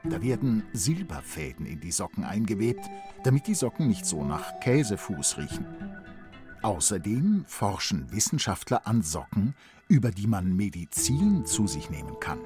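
A man's voice: fairly low pitch, sing-song, moderate pace. A man reading scripted explanatory quiz narration for children. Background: music.

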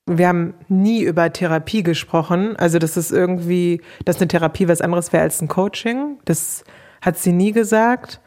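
A woman's voice: lowish voice, normal speed, a little mellow. A woman recounting a personal experience in a casual, candid, self-reflective and colloquial way. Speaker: interviewed coaching client telling her experience.

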